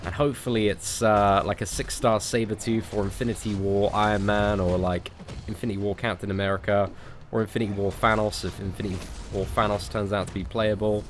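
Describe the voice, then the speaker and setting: A man's voice: on the low side, flat delivery, fairly quick, a little hiss or hum. A British man hosting a gaming livestream, chatting casually with friendly banter and lots of filler words. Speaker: livestream host playing a mobile game. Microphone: desk microphone.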